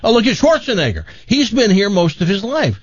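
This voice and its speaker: A man's voice: high voice, brisk, no echo. A male radio host from the American South, telling stories in casual on-air chat. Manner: animated storyteller. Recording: dull lo-fi broadcast audio.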